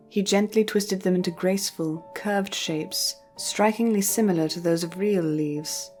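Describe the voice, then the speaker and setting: A woman storyteller reading scripted fiction narration in a measured, careful way. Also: on the low side, a little mellow.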